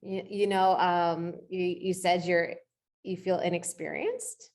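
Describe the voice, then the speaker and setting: A woman's voice: on the low side, little pitch movement, takes it slowly, a little mellow. A middle-aged woman coaching in an informal, conversational way, encouraging and often checking in with short rhetorical questions. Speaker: real estate coach leading a coaching session.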